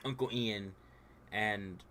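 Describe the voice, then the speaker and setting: A man's voice: fairly low pitch, takes it slowly, bright, forceful tone, slight background noise. Young man who stutters, rambling casually. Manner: informal, says "bro" and "like" a lot; stutters at times. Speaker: streamer and dungeon master. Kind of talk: casual heartfelt rant on stream.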